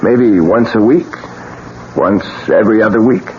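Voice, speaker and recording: An actor playing a bitter, reckless painter with a death wish, sardonic and theatrical, in a 1970s radio drama. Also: male voice, fairly low pitch, moderate pace, warm tone, natural voice. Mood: morbid, bitter. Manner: sardonic, theatrical, swinging delivery. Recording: muffled, noisy 1970s radio drama recording.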